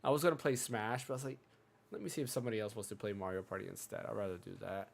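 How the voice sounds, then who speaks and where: A man hosting a casual gaming podcast, chatty and rambling, a bit hoarse from a cold. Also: fairly low pitch, steady tone, racing. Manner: chatty, rambling, self-interrupting. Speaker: podcast host.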